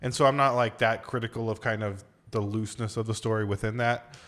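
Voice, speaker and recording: A man's voice: lowish voice, fairly even intonation, fairly quick, fairly bright tone. A man co-hosting a podcast, chatting casually about movies in a loose, conversational way and piecing his thoughts together as he goes. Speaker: podcast co-host, movie reviewer. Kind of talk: casual movie review chat.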